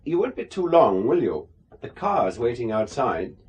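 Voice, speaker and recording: A smooth, insistent spy go-between in a radio thriller. His pitch swings widely and his voice is rather husky, while his manner stays formal, polite and coolly pressing. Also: big pitch swings, unhurried, darkish tone, muffled recording. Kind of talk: radio drama acting.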